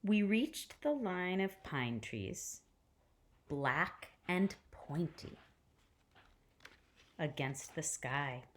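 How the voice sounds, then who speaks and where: A woman teacher reading a picture book aloud to children in an expressive way, with deliberate pauses and hushed phrase endings. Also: low-pitched.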